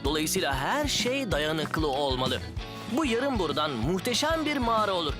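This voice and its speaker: A man's voice: a little high, very animated, moderate pace, music in the background. A man playing an upbeat, reassuring builder foreman in a dubbed children's cartoon. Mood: explaining, confident.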